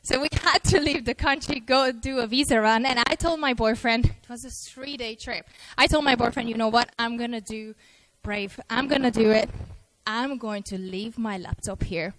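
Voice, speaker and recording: A young adult woman giving a lively, self-mocking talk on a festival stage, acting out her own worries. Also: fairly high pitch, normal speed, hardly any pauses, background noise. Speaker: conference speaker. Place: festival stage before a live audience. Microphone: handheld mic.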